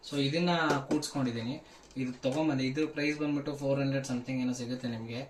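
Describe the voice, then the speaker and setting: A man's voice: medium pitch, fairly even intonation, very fast, a little mellow, a little hiss or hum. A man giving a casual hands-on how-to demonstration, informally mixing in English words. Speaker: tech vlogger presenting a tutorial.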